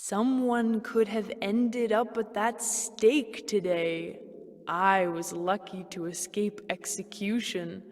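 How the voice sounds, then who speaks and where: Solemn young game heroine: unhurried, dramatic delivery, medium pitch. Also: female voice, fairly even intonation. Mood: solemn relief. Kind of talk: theatrical video game cutscene acting. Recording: long hall reverb.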